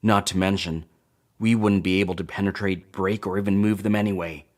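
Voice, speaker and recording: A cautious, doubting male crew officer, played by an actor in a sci-fi audio drama. He has a fairly low voice and challenges things bluntly. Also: steady tone, a little fast, close to an everyday voice. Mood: skeptical.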